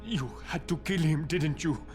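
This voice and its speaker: An actor playing a badly wounded, grieving survivor in a video game, gasping in pain. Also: male voice, on the high side, normal speed, soft background music, natural voice. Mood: anguished, bitter. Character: badly wounded, grief-stricken male survivor.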